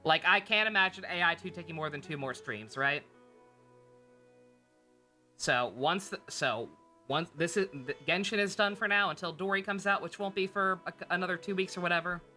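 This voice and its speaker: Tired game streamer, bright medium-pitched voice, rambling, casual, sweary, background music. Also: normal speed. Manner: rambling, thinking aloud, profane. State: tired, short on sleep. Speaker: game livestreamer. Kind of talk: casual livestream chat to viewers.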